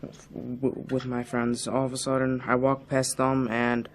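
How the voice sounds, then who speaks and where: Monotone sullen teenage boy, acted by a student. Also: mid-range voice, unhurried, a little hiss or hum, lightly acted. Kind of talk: amateur school dramatization.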